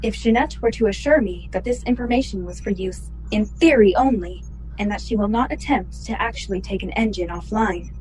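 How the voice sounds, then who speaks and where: A woman playing a calm, obedient spaceship computer in a sci-fi radio play, speaking formally, precisely and in measured phrases. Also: mid-range voice, soft background music, close to an everyday voice, rough recording. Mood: calm, cautious.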